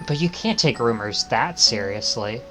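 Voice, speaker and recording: A man's voice: medium pitch, animated, normal speed, sharp tone, soft background music. A man streaming a visual novel, reading it aloud in English with dramatic narration.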